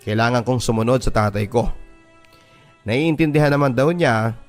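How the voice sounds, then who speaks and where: A Filipino man reads a personal story in the style of a radio drama. His voice is medium in pitch, and music plays behind his scripted narration. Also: normal speed. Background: background music.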